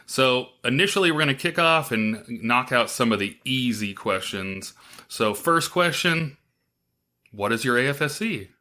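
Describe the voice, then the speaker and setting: A man's voice: medium pitch, lively intonation, measured pace, sharp tone. A man hosting an interview podcast in a casual, upbeat way, with plenty of filler words. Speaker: podcast host.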